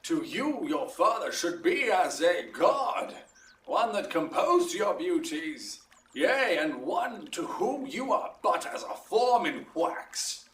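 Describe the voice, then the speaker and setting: Man playing lordly high-voiced duke, swooping delivery. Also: sing-song, slow pace, fairly bright tone, full character voice. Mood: grave, advising. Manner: stately, declamatory, theatrical. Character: lordly, measured duke of Athens passing judgment. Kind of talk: Shakespearean audio drama acting. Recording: roomy echo, distant from mic.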